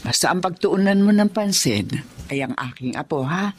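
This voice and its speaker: A woman's voice: lowish voice, animated, moderate pace, slight background noise, natural voice. A woman playing a protective, take-charge older mother in a Filipino radio drama, speaking emphatically and melodramatically with a Filipino accent. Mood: caring, reassuring.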